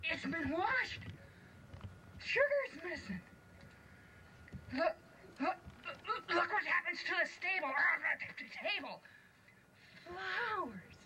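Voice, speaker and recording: A woman voicing a bunch of excitable little male dwarfs, high and stammering, in a read-aloud storybook. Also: heavily acted, low-quality recording. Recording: home recording with clear background noise.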